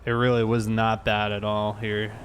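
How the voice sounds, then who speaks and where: A man's voice: fairly low pitch, completely flat delivery, normal speed. An American man hosts a game livestream in an easygoing, conversational manner. He chats casually as he plays, with some background vehicle noise behind his voice. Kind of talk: casual livestream chat while playing.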